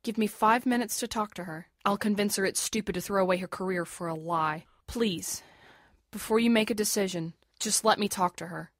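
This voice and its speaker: An actress in an audio drama playing a defiant, sarcastic, fiercely protective young medical student, blunt and emotionally intense. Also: female voice, medium pitch, fairly quick, no echo, clean studio sound, lightly acted. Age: young adult.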